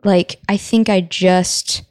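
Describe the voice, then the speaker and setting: A woman's voice: on the low side, steady tone, slow, a little mellow, no echo, clean studio sound. A woman in her twenties from the southern US, a musician guest who chats casually, laughs easily and wanders off on tangents. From Southern US, Tennessee. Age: 20s. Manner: laughing, tangent-prone, self-deprecating. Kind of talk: casual interview chat.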